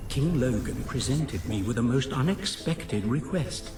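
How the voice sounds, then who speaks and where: Earnest inventor diarist: medium pitch, articulate, distant and roomy, music playing behind. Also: male voice, expressive, measured pace. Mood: troubled. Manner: formal, articulate. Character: earnest male inventor dictating a personal log. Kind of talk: dramatic recorded diary.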